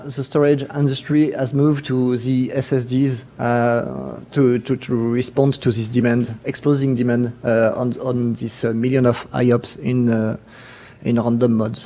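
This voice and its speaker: French-accented man, conference presenter, mellow tone, halting delivery full of fillers. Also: mid-range voice, flat delivery. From France. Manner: halting, many fillers and restarts. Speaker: conference speaker.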